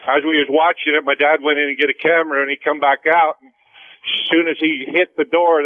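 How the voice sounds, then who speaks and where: An American man calling a radio show, telling a story in casual, folksy, colloquial speech. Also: on the high side. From Utah, United States. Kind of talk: casual storytelling on a phone call. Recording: tinny phone line over radio.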